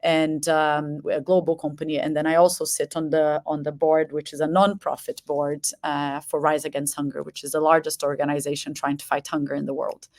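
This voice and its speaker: A woman from Brazil in her forties speaks as a webinar guest over a video call. Her voice is notably low. She talks in a steady, measured way, filling pauses with uh and um and repeating words as she goes. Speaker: guest, company president. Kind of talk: self-introduction in webinar.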